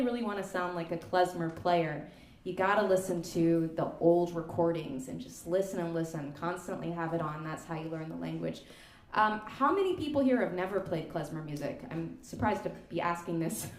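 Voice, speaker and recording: A woman's voice: lowish voice, normal speed, darkish tone. Woman, young adult, workshop leader, chatty, upbeat, roomy hall sound. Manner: upbeat, chatty, counts the band in. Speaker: workshop leader, klezmer musician. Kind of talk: teaching a music workshop. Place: community center hall. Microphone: stage mic.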